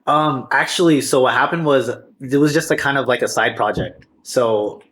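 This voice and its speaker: A man's voice: mid-range voice, moderate pace, a bit bright. A man in his twenties speaking as a podcast guest, explaining things in an informal, reflective way. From Filipino American. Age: 20s. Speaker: podcast guest, streetwear brand founder. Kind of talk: casual interview, telling his story.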